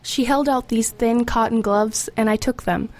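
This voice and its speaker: Wry, higher-voiced teenage girl, played by a woman. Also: fairly even intonation, normal speed, a little mellow, close to an everyday voice. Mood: wry, confiding. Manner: wry, confiding, matter-of-fact. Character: streetwise, wry, formerly homeless teenage girl. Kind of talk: first-person narration and dialogue in radio drama.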